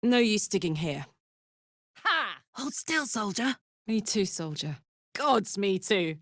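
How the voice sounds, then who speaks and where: A brash, big-hearted warrior woman from the hells for a video game. Her delivery is slow but highly animated, swinging widely in pitch. She is blunt and sweary yet wears her feelings openly, and the recording is close and dry. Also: dry recording, heavily acted. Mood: brash, casual. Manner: earthy, blunt, sweary, emotionally open. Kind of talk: video game character voice lines.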